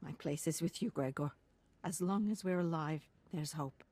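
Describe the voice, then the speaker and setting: Casting: a resolute, gentle game wife, deep for a woman, dark mellow tone. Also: normal speed. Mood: devoted. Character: devoted, quietly resolute wife and mother. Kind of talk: video game dialogue acting.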